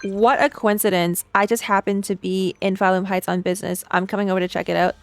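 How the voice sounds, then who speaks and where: A woman's voice: mid-range voice, little pitch movement, fast talker, no room sound, faint music behind. A woman livestreaming a game reaction, reading dialogue aloud and chatting with playful, teasing, slangy asides. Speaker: streamer reacting to a game story.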